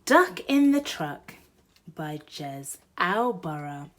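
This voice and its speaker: Slow, animated woman reading to children. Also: sits low. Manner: sing-song, expressive, addressing young children. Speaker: mother and presenter of a children's read-aloud channel. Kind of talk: animated children's storybook read-aloud.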